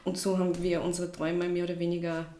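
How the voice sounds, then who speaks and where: A woman's voice: on the low side, monotone, fast talker, warm tone. Reflective woman from Russia, echoey room. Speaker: podcast guest, shop founder. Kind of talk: personal storytelling in an interview. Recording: roomy echo, faint background noise.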